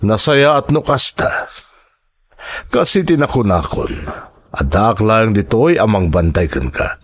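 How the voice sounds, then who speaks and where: A predatory rebel commander in an Ilocano-accented radio drama. His voice is low and husky and swings widely in pitch, as he plays the persuasive protector with menace underneath. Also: sing-song, a little slow. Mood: coaxing, protective. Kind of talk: Filipino radio drama acting.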